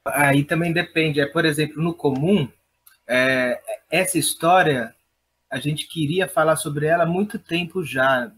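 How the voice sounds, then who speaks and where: A man's voice: fairly high pitch, a little slow, a bit bright, husky. A man with a São Paulo Brazilian accent, speaking reflectively and a little hesitantly as an interviewed theatre artist. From Perus, São Paulo, Brazil. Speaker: interviewed playwright and theatre director.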